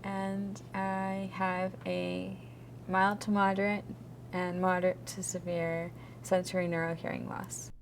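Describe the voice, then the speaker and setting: Woman in her twenties, lowish creaky voice, personal testimony. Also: level tone, measured pace, slight background noise. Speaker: interviewee.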